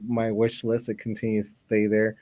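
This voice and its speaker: A man hosts a casual live-stream chat, rambling a bit and laughing easily. His voice is fairly low and sounds close and dry, with no room around it. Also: completely flat delivery, normal speed, a little mellow, no room sound. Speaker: host.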